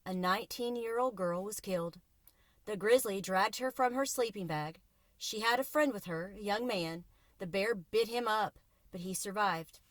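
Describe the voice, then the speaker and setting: A woman's voice: medium pitch, moderate pace, no echo, close to an everyday voice. A woman voicing a shaken, somber young man in a storybook, grave and earnest as he gives grim news. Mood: grave, shocked.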